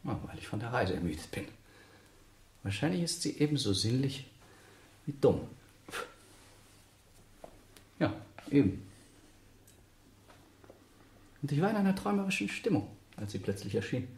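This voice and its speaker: A man acting a brooding, self-important scholar in a radio play, deliberate, theatrical and dismissive as he argues with himself. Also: medium pitch, big pitch swings, darkish tone, reverberant, slight background noise. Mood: self-justifying. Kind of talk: German radio play monologue.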